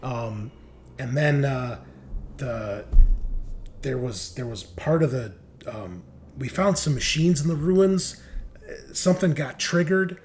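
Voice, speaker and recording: A man's voice: medium pitch, lively intonation, a little hiss or hum. A man playing a shaken, dazed crewman just out of cryosleep in a tabletop game, stammering through broken recollections. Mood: distressed, rattled. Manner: halting, stammering, fragmented recollection.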